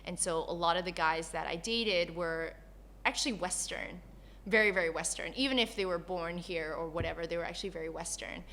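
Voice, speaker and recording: A woman from Malaysia who grew up in Canada, talking casually as a podcast guest, laughing often and telling stories in an animated way. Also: fairly low pitch, a little fast, a little hiss or hum. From Malaysia, raised in Canada. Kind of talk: casual interview chat.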